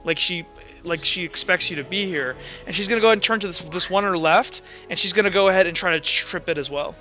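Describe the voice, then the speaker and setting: A man with a high, sharp voice runs a tabletop game. He narrates casually and haltingly, working out rules aloud. The sound is a bit muffled, with music playing underneath. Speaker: game master.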